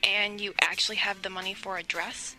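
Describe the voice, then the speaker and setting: A woman's voice: medium pitch, level tone, fairly quick, bright, forceful tone, soft background music, poor audio. A woman playing a brusque, impatient teenage schoolgirl in an audio drama.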